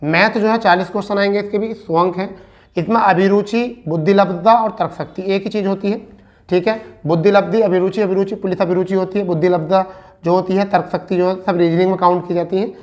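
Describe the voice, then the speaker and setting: A man's voice: high voice, steady tone, fast, a little mellow. Man teaching, repeating key points. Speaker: teacher giving exam updates to students. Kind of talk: informal online class, addressing students.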